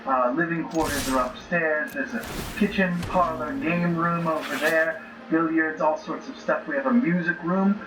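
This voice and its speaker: A man's voice: a little high, steady tone, moderate pace. A man improvising in character as a player in a tabletop role-playing game. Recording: roomy distant mics with noisy background audio.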